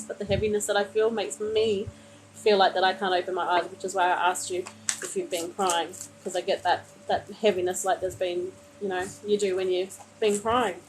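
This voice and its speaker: A woman's voice: mid-range voice, level tone, a little fast, slight background noise, poor audio. A woman reading tarot cards for a viewer during a live stream, soothing and meandering, calling the listener honey, speaking into a phone mic. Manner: meandering, many fillers and restarts. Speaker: tarot card reader.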